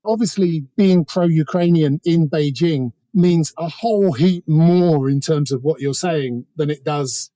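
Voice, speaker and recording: British man, higher-pitched, dark-toned voice. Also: no echo, poor audio. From Britain. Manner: discursive, hedging with many asides. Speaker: author, interview guest. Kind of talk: conversational political commentary.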